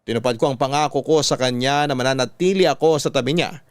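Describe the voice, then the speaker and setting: A man with a Filipino accent reading a scripted first-person story in a measured, dramatic storytelling manner. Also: medium pitch, no room sound. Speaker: radio drama narrator.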